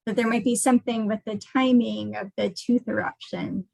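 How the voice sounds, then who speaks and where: Woman researcher giving informal online talk, bit high pitched, creaky, kinda hesitant, dry sound. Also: fairly even intonation, moderate pace, darkish tone, no room sound, poor audio. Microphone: video call.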